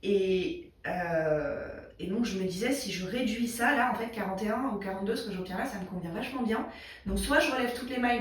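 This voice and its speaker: A woman hosting a knitting video in a casual, rambling chat, with a slightly congested throat and lots of fillers. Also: medium pitch. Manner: rambling, many fillers and restarts. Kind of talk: casual chat thinking aloud. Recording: echoey room, mic far away.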